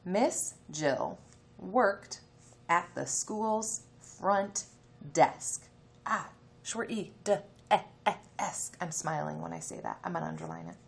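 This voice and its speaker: Female elementary teacher, read-aloud lesson: lively intonation, unhurried pace, instructional delivery. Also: on the low side, creaky. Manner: instructional, sounds out letters and words for children.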